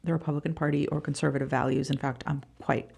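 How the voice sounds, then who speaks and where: A woman from Costa Rica with a very low, noticeably creaky voice. She talks informally as an interview guest, halting often and repeating words for emphasis. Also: level tone, darkish tone. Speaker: podcast guest, venture fund founder. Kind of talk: casual interview conversation.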